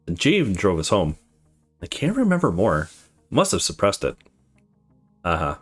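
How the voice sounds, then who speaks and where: A man with a low voice hosts a let's play, moving between reading story text aloud and informal commentary. His delivery is lively, with wide swings in pitch, and music plays behind him. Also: normal speed, husky. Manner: informal, sweary, reacts off the cuff. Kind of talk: casual gaming commentary mixed with reading dialogue aloud.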